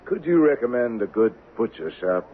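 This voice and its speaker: An actor playing a cool, sardonic, menacing avenger in a 1970s western radio drama, controlled and American-accented. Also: male voice, medium pitch, sing-song, normal speed, close to an everyday voice. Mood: quietly menacing. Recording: muffled, hissy vintage radio recording.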